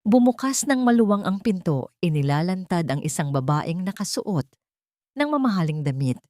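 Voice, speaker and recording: A woman's voice: fairly low pitch, very animated, moderate pace, warm tone, no room sound, studio quality. A woman narrating an emotional audio story in a dramatic, scripted style.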